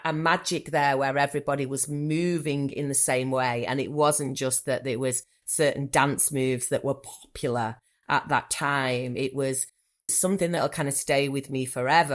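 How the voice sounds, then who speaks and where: This is a woman with a Manchester accent, speaking low in her range. She talks with eager enthusiasm in a relaxed interview, circling back and repeating words as she works through her thoughts. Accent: Mancunian. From Manchester, England. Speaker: podcast guest, therapist. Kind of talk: reflective conversational interview.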